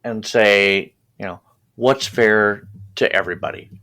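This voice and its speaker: A man hosting a podcast, talking in an earnest, halting way and often repeating his words. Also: on the low side, bright, forceful tone. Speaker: podcast host. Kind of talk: conversational interview.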